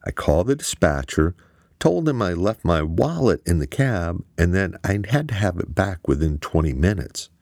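A man's voice: sits low, big pitch swings, moderate pace, a little mellow, no room sound, clean studio sound, pitched above the performer's own voice, heavily acted. A man voicing a laid-back young male hacker with a casual, slightly childlike way of talking.

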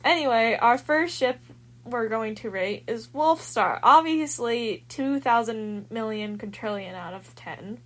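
A woman with a somewhat high voice hosts a casual podcast. She introduces segments and gives her opinions in an upbeat, easygoing way. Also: animated, a little slow. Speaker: podcast host.

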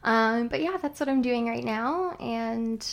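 Playful woman in her thirties, slightly high voice. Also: a little slow, gravelly, dull audio. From Nova Scotia, Canada. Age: 30s. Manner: playful and teasing, laughs often. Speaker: podcast co-host. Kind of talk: casual chat. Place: studio room. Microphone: large studio microphone.

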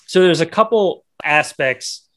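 A man with a slightly high voice chats as a podcast co-host. His delivery is casual and blunt, full of slang. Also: lively intonation, unhurried, a bit bright. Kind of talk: casual movie discussion.

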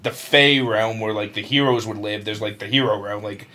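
A man co-hosting a podcast, chatting casually in a halting way, padded with filler words. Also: on the low side, sharp tone. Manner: halting, filler-heavy with constant "like". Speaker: podcast co-host. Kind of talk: casual chat.

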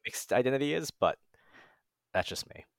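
A man's voice: medium pitch, big pitch swings, moderate pace, creaky, dry recording. A man from the West Coast of the United States talking casually on a podcast in a reflective, tentative way. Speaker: podcast host. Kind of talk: casual discussion.

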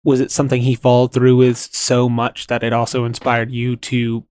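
A man of average pitch speaks in a casual, conversational way, as a co-host on a podcast. His voice is recorded close, with hardly any room sound. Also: monotone, normal speed, a little mellow, clean tone, dry recording.

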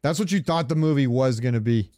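A man hosting a podcast, giving casual fan commentary in a smooth, clear voice. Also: medium pitch, fast, warm tone, dry recording. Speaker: podcast host.

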